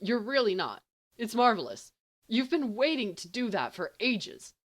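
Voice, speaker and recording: Audiobook role: flippant teenage boy played by a woman, easily wounded, mock-grand, swooping expressive delivery. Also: on the high side, unhurried, voice pushed up. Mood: teasing. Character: brash, wounded, teasing teenage schoolboy.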